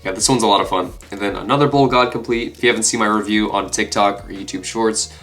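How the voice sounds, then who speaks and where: A man in his twenties talks casually to camera in a somewhat low voice, stuffed up from a cold. He sounds like a video creator showing off a collection, with guitar music playing behind him. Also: steady tone, fast, a bit bright. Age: 20s. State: congested with a cold. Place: small furnished room indoors.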